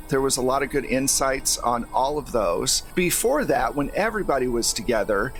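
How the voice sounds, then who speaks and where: A man's voice: medium pitch, a bit bright, low-quality recording. A man speaking as game master and host, casually recapping a roleplaying story with playful sarcasm.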